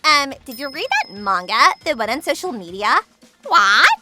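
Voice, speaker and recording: A woman playing a haughty, callous, easily flustered middle-aged aunt, the villain of a manga dub, in a sharp, melodramatic manner. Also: on the high side, very animated, normal speed, loud music behind the voice. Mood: alarmed. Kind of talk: anime-style manga dub acting.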